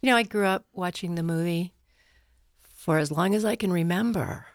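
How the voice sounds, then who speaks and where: An older woman with a fairly low voice, recorded close and dry in a studio. She talks reflectively as an interview guest, stressing her words deliberately. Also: no echo. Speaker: interview guest, community theater player. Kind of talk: reflective radio interview talk. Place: radio studio.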